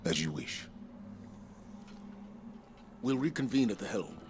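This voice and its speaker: A man voicing a grave, formal military commander in a video game, with a measured, authoritative manner. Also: medium pitch, expressive, noisy background. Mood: concerned, formal.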